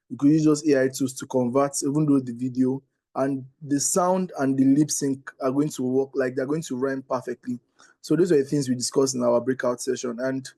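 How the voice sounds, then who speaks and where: Mellow Nigerian man reporting back. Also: mid-range voice, no echo. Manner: conversational, often adding "right?" and "like". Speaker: workshop breakout facilitator. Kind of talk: informal spoken session report.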